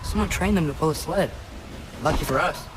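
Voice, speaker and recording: An actor playing an earnest, restless teenage son in a video game, casual, eager and full of questions. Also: male voice, a little high, a little fast, background noise, lightly acted. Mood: curious, earnest. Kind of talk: video game cutscene acting. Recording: music behind the voice.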